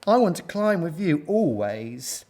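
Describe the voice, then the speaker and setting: Man as a female storybook gorilla, fussy, sighing, drawn-out, raised pitch. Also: very animated, mellow. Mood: adoring. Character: female gorillas, fussy, haughty, then gushing admirers.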